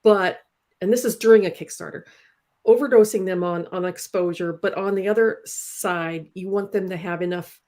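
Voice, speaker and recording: A woman's voice: lowish voice, fairly even intonation, normal speed, warm tone. A woman hosting a casual interview, chatting and putting questions to a guest. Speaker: show host and comic creator.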